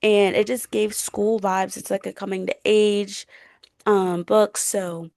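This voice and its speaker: A woman's voice: medium pitch, level tone, slow pace, gravelly, darkish tone, no echo, studio quality. A woman chatting casually and emphatically about books as a podcast co-host, in an informal way. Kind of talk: casual book chat.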